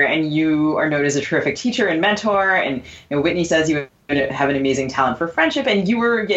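A woman with a low voice co-hosts an interview podcast. She sounds articulate and polished, on audio that is a little dull. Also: fairly bright tone. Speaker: podcast co-host. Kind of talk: scripted introductions and interview questions.

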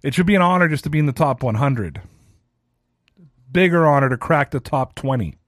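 A man's voice: a little high, lively intonation, a little fast, no room sound, studio quality. Chatty man in his thirties ranting. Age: 30s. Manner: casual, chatty, self-deprecating. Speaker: podcast host. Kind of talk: solo sports rant podcast.

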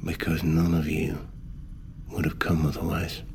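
An actor playing a wealthy middle-aged magnate, speaking formally in a measured, halting way. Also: male voice, very deep voice, completely flat delivery, noisy background. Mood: formal, restrained. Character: wealthy, controlled, grave male business magnate. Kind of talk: naturalistic audio drama acting.

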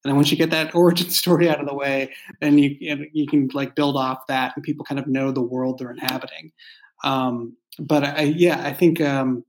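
A man's voice: mid-range voice, flat delivery, normal speed, low-quality recording. A man speaking as a writer in a casual behind-the-scenes discussion, with a thoughtful, hesitant, rambling way of phrasing things. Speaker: writer and creator of an audio drama.

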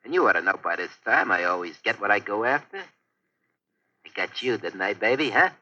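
Sharp, cocky American racketeer. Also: male voice, lowish voice, expressive, moderate pace, muffled recording, exaggerated voice. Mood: smug, scheming. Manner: slangy tough-guy talk. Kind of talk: 1940s radio crime drama acting. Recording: vintage 1940s radio broadcast.

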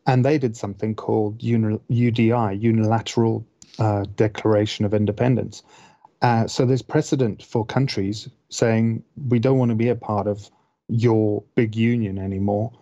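A man from Zimbabwe with a lowish, mellow voice speaks on a podcast panel. He explains in a careful, halting manner, picking his wording as he goes. The recording is slightly dull. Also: fairly even intonation, no echo. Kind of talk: casual explanatory talk. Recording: dull, dry close recording.

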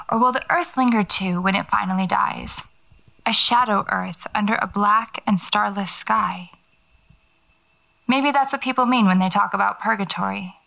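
An actress playing a pensive, wry horror writer, musing reflectively into a taped diary in an audio drama. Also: female voice, medium pitch, vocal fry, sharp tone. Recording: slightly dull cassette diary sound.

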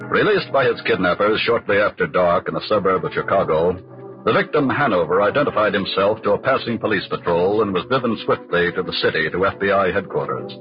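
An actor as the authoritative narrator of a 1940s radio crime drama, speaking in formal, emphatic, deliberate phrases. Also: male voice, deep voice, animated. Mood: grave, emphatic. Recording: dull old radio recording, music behind.

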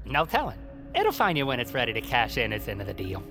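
Animated, cheeky undead sidekick guy. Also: medium pitch, fast. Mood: teasing. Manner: colloquial, teasing, animated. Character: cheeky, streetwise undead male sidekick and guide. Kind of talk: audio drama dialogue. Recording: voice under loud music.

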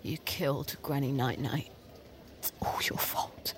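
A woman's voice: very deep voice, measured pace, slight background noise. An actress playing a distraught, self-loathing young woman who rants accusingly in an audio drama. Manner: anguished, accusatory ranting and muttering. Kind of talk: audio fiction acting.

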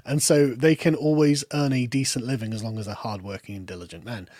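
British guest, rambling talker, middling pitch, dead dry room. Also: male voice, fairly even intonation, fairly quick, no room sound. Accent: British, lower middle class. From Britain. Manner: rambling, with many restarts and trailing off quietly. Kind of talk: casual political discussion.

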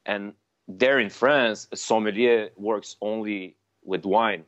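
A man whose first language is Armenian, a sommelier chatting in an interview, halting with many fillers and restarts. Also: fairly low pitch, fairly even intonation, a bit bright. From Lebanon. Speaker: sommelier guest. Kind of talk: casual interview chat. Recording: dull, dry lo-fi sound.

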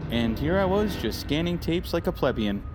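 An actor playing an earnest, inquisitive institute employee in an audio drama, eager but halting in his speech. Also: fairly high pitch, sing-song, over music. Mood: relieved.

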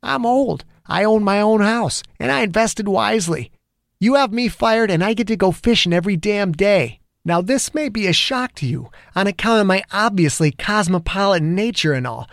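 A man voicing a gruff, combative older male police detective who swears bluntly. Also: sits high, animated, normal speed, no room sound, clean studio sound, voice pushed up. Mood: confrontational. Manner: blunt, profane, confrontational.

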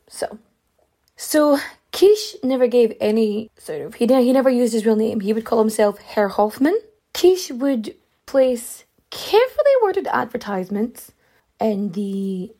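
A woman's voice: fairly high pitch, vocal fry, warm tone, slightly rough. A woman hosting a podcast, telling a true-crime story in a rambling, chatty way with many fillers and asides while she is losing her voice. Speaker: podcast host.

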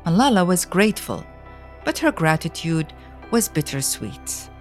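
A woman narrator reading a scripted children's biography in a deliberate, expressive storytelling manner. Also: lowish voice, soft background music. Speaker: audiobook narrator.

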